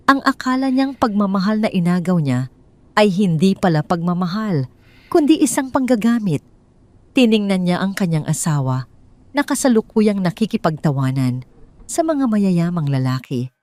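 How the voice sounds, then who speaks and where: A woman's voice: deep voice, mellow, dry recording. A woman with a Filipino accent narrating a scripted dramatic story.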